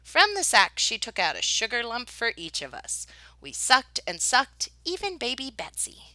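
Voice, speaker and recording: A woman reading a children's picture book aloud as a teacher would, with expressive, deliberate phrasing. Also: on the high side, dry recording. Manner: animated, deliberate phrasing for young listeners. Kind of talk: expressive children's storybook narration.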